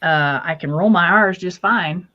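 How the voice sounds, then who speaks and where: A woman's voice: on the low side, measured pace, muffled recording. A woman co-hosting a casual chat, talking loosely and trailing off. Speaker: co-host.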